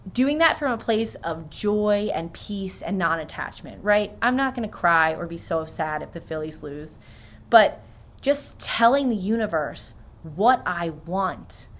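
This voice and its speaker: An American woman with a slightly low voice talks like a vlogger sharing her own experiences. Her delivery is informal and sincere, mixing everyday storytelling with spiritual reflection. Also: a bit bright. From New Jersey, United States. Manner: informal and earnest, frequent "like" and "so".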